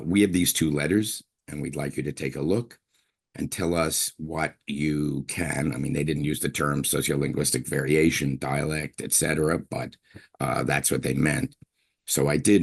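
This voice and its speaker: An older man from Brooklyn giving a university lecture as a linguistics professor, chatty and digressive, often clearing his throat. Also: a bass voice. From Brooklyn, New York.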